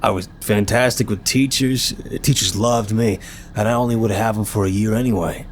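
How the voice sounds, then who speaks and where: Low-voiced, charming, nervous mafia boss played by a man. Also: fairly even intonation, normal speed, slight background noise, natural voice. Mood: nervous, rambling.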